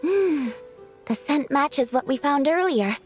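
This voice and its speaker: A woman voicing a composed, analytical young female therapist in a video game, measured and explanatory. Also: high voice, lively intonation, faint music behind. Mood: focused. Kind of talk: animated video game dialogue.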